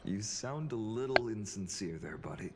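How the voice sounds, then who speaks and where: An actor playing a gallant, earnest young fantasy hero in a video game, speaking in a measured, courteous way. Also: male voice, fairly low pitch, expressive, a crowd in the background, natural voice. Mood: sincere, teasing. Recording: long reverb, game music behind.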